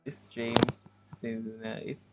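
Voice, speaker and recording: A man giving casual livestream commentary on a game, with halting, hesitant phrasing. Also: mid-range voice, flat delivery. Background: game music.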